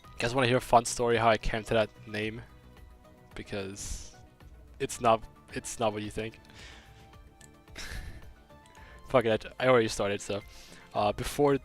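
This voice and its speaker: A young man from Germany with a slight German accent, chatting casually on a game stream and rambling through a story with many restarts. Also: fairly low pitch. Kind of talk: casual stream chat, reading chat.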